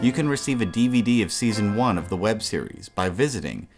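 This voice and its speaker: Man, radio play announcer, scripted narration, lowish pitch, clear background music. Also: big pitch swings, brisk.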